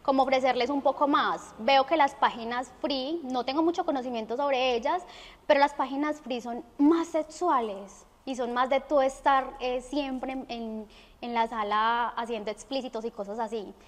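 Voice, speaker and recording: A young woman with a Colombian accent answers questions in a conversational way. Her voice sits fairly high. The studio around her leaves a noticeable reverb on the sound. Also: moderate pace. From Armenia, Colombia. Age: young adult. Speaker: interview guest. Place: indoor studio set. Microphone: lapel mic.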